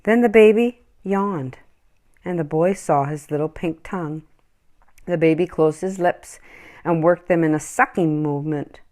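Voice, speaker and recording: A woman reading a children's story aloud in an expressive, acted-out storytelling style. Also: low voice, unhurried. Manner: animated, acts out dialogue and animal sounds. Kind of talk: expressive scripted storybook narration.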